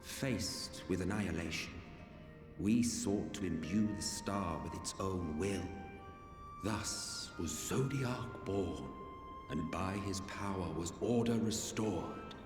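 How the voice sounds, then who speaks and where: Immortal game villain, low-pitched, theatrical, condescending, archaic diction, distant and echoey, loud music behind. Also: male voice, slow. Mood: solemn storytelling. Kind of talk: dramatic video game acting.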